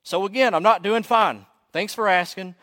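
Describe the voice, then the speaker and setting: Guy acting a worn-out desperate pilgrim in a sermon story, raw and emotional, highish, echoey hall. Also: measured pace, fairly bright tone. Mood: angry outburst. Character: weary, desperate pilgrim pouring out his heart. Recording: echoey hall with long reverb.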